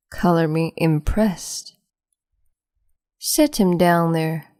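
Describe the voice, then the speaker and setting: A woman voicing a cruel, capricious empress in an ASMR roleplay, speaking slowly and mockingly and slipping into whispers. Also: sits low, a little mellow, no echo, studio quality. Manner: slow, mocking, condescending, drifts into whispers.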